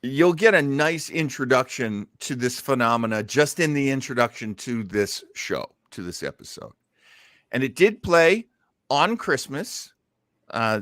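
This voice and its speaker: A man's voice: mid-range voice, fairly bright tone, no echo, clean studio sound. A man hosting a show in a casual, unscripted way, shifting between theatrical announcing and rambling chat. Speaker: show host.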